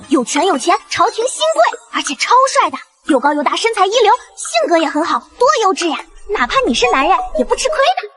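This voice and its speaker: Squeaky, chirpy matchmaking system sprite. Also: female voice, a bit bright, exaggerated voice. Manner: bubbly, wheedling, gushing. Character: chirpy female matchmaking system spirit, pushy and excitable. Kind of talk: animated web drama acting.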